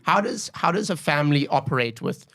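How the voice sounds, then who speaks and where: A man's voice: on the high side, big pitch swings, a little fast, creaky, fairly bright tone. Casual, curious male podcast host. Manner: curious, informal, with filler likes. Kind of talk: casual interview questions.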